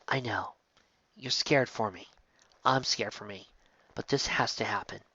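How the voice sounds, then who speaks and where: Male reader doing a quiet, steady young mare musician for a storybook; hushed, lo-fi. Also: a bass voice, unhurried, slightly rough, no echo, heavily acted. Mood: hushed, resolute. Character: determined, soft-spoken young mare musician. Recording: dull, lo-fi home recording.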